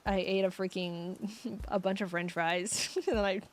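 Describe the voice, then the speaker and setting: A woman's voice: lowish voice, little pitch movement, measured pace. A young woman streamer in casual chat with her viewers, rambling and giggly with lots of fillers, her voice getting scratchy.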